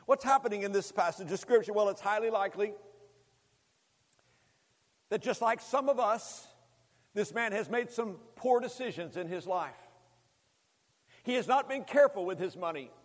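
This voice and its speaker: A man in his fifties preaching a sermon, speaking emphatically with deliberate repetition and addressing the congregation directly. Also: high-pitched, lively intonation. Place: large hall, preaching to a congregation. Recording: long hall reverb.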